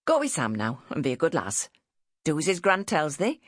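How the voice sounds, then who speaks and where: A woman's voice: low voice, wildly swooping pitch, moderate pace, no echo, clean studio sound, exaggerated voice. A woman voicing a decisive, unsentimental mother, curt and firm. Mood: firm, brisk. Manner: curt, firm, matter-of-fact. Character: brisk, hard-willed Northern mother, unsentimental and decisive.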